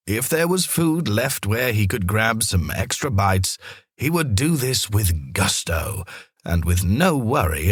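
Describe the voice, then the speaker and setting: Male narrator for storybook fiction: lowish, husky voice, animated melody, dry close sound. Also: no room sound. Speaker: storyteller, audiobook reader.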